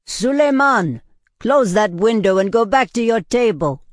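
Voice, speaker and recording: A man's voice: high-pitched, animated, measured pace, gravelly, darkish tone, slightly rough, no room sound, studio quality, heavily acted. A man voicing a blunt but kindly tavern owner, exasperated and protective, in an audiobook style. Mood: worried scolding. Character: blunt, worried, kindly male tavern owner, scolding a friend. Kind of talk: audiobook character acting.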